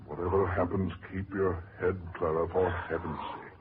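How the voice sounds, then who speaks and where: A man's voice: sits low, little pitch movement, normal speed, narrow, tinny audio, natural voice. Guy acting a bossy urgent leader in a 40s radio horror play, dramatic, thin scratchy old recording. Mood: firm, urging. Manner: dramatic, exhortative. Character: urgent, commanding man leading through haunted forest.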